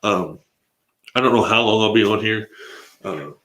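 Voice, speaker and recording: A man hosting a live stream from home, with a stuffed-up, congested sound. His voice sits fairly low, and he rambles along in a laid-back way. The room gives it a roomy echo. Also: normal speed, fairly bright tone. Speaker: livestream host. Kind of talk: casual live-stream chat.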